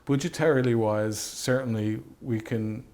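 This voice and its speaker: A man speaking reflectively in an interview as a writer and director, halting and thoughtful, often repeating phrases as he goes. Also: medium pitch, darkish tone.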